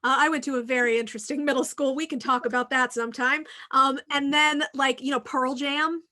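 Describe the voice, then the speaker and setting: Bright-voiced woman in casual podcast chat. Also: high-pitched, fairly even intonation, a little fast. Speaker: podcast co-host, professor.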